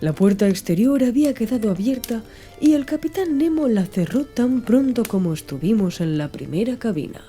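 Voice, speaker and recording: A woman narrating a literary audiobook from a script, with measured, deliberate phrasing. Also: a little high, animated, dark tone. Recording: music behind the voice. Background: music.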